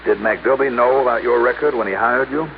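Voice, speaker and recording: An actor playing a brisk, hardboiled insurance investigator in a 1950s radio detective drama, with a clipped, guarded manner. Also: male voice, medium pitch, creaky, close to an everyday voice. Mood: calm, guarded. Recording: muffled, noisy old-time radio recording.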